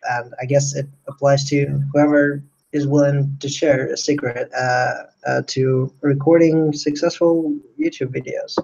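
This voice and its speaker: Young man from russia, monotone, hesitant, muffled call audio. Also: mid-range voice, gravelly. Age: 20s. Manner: hesitant, many fillers. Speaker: contest entrant. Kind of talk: casual video call chat.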